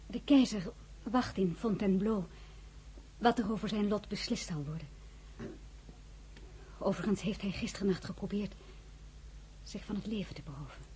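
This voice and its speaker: An actress as a composed, mellow-voiced crown princess. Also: female voice, mid-range voice, moderate pace, pauses often, a little hiss or hum, close to an everyday voice, poor audio. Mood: sombre. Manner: composed, courteous, gently firm. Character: composed, caring crown princess, wife and gracious hostess. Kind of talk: radio drama acting.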